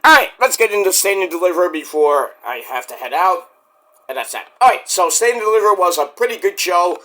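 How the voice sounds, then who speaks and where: A man with a high, bright voice that is hoarse and worn. He rambles through a casual solo wrestling review, joking as he goes. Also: very animated, a little fast. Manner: rambling, jokey, with bursts of shouting.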